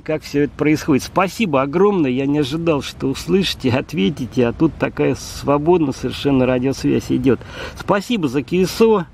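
A man's voice: creaky, a little mellow. A man in his fifties making a friendly, casual amateur radio contact, speaking outdoors. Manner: friendly, spells call signs with phonetic words. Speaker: amateur radio operator and vlogger. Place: outdoors in a city park. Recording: outdoor park with faint rumble.

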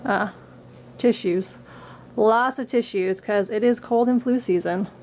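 A woman's voice: on the high side, measured pace, darkish tone, slight background noise. Woman with a cold, chatty show-and-tell. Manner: reads book excerpts with upbeat lift, then chats plainly. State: getting over a cold. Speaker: craft vlogger. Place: indoor room against a plain backdrop.

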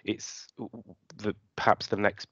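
A man's voice: takes it slowly, a bit bright, no room sound. A middle-aged man answering conference questions over a video call, hesitant and self-deprecating, with many restarts. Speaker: keynote speaker. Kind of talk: conference talk and Q&A. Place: home room on video call. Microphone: headset. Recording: muffled lo-fi video call audio.

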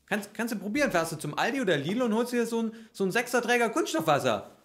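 Man playing a simple, earnest warehouse worker, lively pitch. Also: quick pace. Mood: baffled, earnest. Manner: earnest, colloquial, easily baffled. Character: contented, simple-hearted male deposit-bottle warehouse worker. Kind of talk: improvised audio play. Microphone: microphone on stand.

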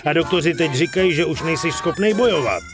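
An actor playing a confident, streetwise young gangster pal in a dubbed game, casual, slangy and self-assured. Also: male voice, fairly high pitch, lively intonation, music in the background, lightly acted. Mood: smooth, businesslike. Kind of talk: dubbed video game cutscene acting.

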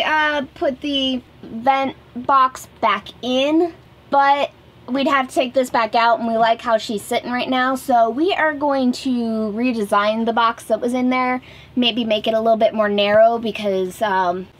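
Casual woman with a higher-pitched voice. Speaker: vlog host. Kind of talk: casual DIY explainer. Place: small wood-panelled boat cabin indoors.

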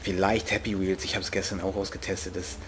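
A young man with a regional German dialect jokes and chats to himself while he plays. His voice sits fairly low, and faint game sound runs behind him. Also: level tone, brisk, slight background noise. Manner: joking, playful, talks to himself. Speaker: let's play host. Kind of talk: casual gameplay commentary.

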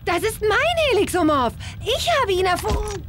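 A woman's voice: very high-pitched, sing-song, moderate pace, background noise. An actress playing a tough, sardonic young female inventor in a game, dry and confident. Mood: indignant. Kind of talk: animated game cutscene acting.

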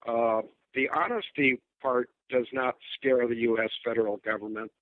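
An older man with an Upper Midwest American accent speaks over a thin, tinny cell phone line. His voice sits at an ordinary middle pitch, dry and close. He talks emphatically and deliberately, tossing in mocking asides. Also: fairly even intonation, no room sound. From United States. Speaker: guest. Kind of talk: phone-in interview.

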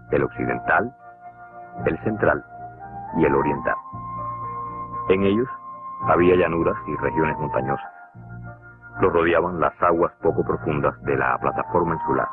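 A man with a Cuban Spanish accent giving measured, scripted documentary narration for a cartoon. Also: a bass voice. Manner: measured, explanatory. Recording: tinny lo-fi narration over music.

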